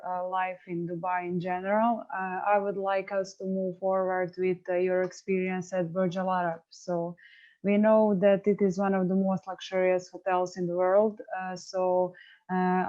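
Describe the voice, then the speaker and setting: A woman puts her questions slowly, dropping uh between phrases. Her delivery stays flat and her tone dark and mellow, and the recording sounds slightly dull and muffled. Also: medium pitch. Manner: hesitant, frequent uh fillers. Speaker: interviewer. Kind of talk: interview questions.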